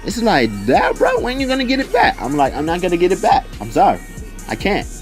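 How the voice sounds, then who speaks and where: A young man telling a personal story casually, in an animated, slangy way, acting out the conversations as he goes. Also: high-pitched, fairly quick, faint music behind. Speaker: video storyteller.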